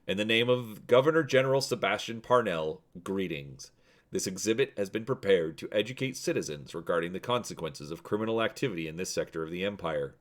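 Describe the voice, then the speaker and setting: A man's voice: deep voice, expressive, fairly quick. A man playing an officious, droning imperial officer giving a formal guided tour in a science fiction story.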